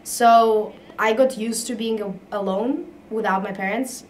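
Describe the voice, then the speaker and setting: A woman's voice: fairly high pitch, fairly even intonation, measured pace, recorded from a distance, a little hiss or hum. A young woman from Russia, a college tennis player speaking fluently in a casual interview. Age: young adult. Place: office indoors.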